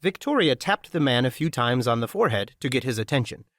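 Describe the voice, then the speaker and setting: A man's voice: medium pitch, lively intonation, fast talker, a bit bright, no echo, clean studio sound. A man reading scripted fiction narration as an audiobook narrator.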